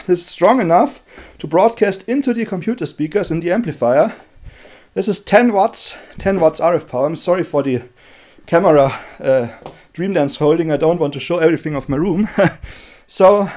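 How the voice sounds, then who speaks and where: A man casually presenting a hands-on electronics demonstration in a halting, explanatory way, recorded on a handheld camera in a room at home. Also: sits high. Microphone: handheld camera held by the speaker.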